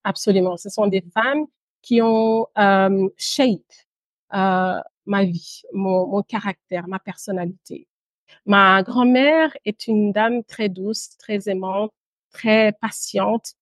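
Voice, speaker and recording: A woman in her thirties speaks at a middle pitch in a dry recording. She tells her personal story as an interview guest, measured and pausing, dropping in an occasional English word. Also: no echo. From Guinea and Brussels, Belgium. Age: 30s. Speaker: podcast guest, entrepreneur.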